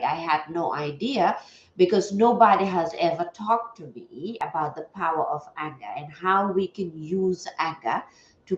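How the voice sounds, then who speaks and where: A woman's voice: low voice, a little hiss or hum. A woman hosting a casual livestream, stuffy-nosed and chatty, greeting viewers as she talks. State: stuffy nose. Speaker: livestream host. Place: home setup at a table. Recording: echoey room, dull lo-fi sound.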